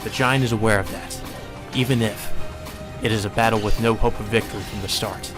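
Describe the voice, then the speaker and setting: A man's voice: on the low side, fairly even intonation, a little fast. A man reading a visual novel aloud as solemn, dramatic scripted narration. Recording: music behind the voice.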